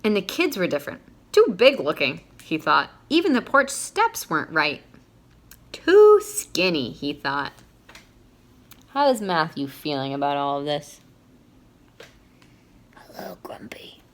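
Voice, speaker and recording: A woman's voice: low voice, sing-song, measured pace, pauses often. A woman reading a children's picture book aloud expressively, stopping to put questions to young listeners.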